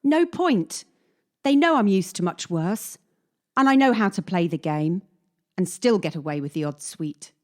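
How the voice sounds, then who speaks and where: A woman's voice: mid-range voice, lively intonation, measured pace, natural voice. A woman playing a cynical, hardened young gymnast from Kyiv in a radio play, blunt and world-weary. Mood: matter-of-fact.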